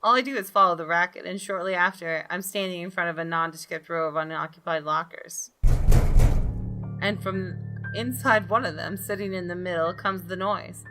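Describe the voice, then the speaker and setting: A woman with a fairly low, bright voice reads a visual novel aloud. Her narration is expressive and dramatic, with game music playing behind her. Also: normal speed. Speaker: gaming video host reading a visual novel aloud. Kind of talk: expressive scripted narration with brief asides. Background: soft game music.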